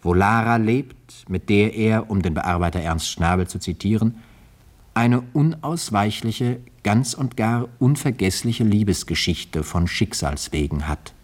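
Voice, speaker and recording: Deep-voiced male radio presenter. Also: lively intonation, measured pace. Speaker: presenter introducing the radio play. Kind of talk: scripted literary introduction.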